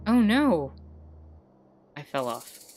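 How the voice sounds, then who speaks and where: A woman's voice: lowish voice, sing-song, drawn-out words, darkish tone, a little hiss or hum. A woman doing casual, chatty game stream commentary, joking and thinking aloud.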